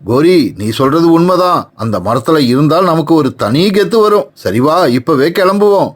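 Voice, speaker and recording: A man's voice: a little high, expressive, normal speed, close to an everyday voice. A man voicing a scheming, greedy male crow in a cartoon, blustering and demanding. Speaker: cartoon voice actor. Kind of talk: animated cartoon voice acting.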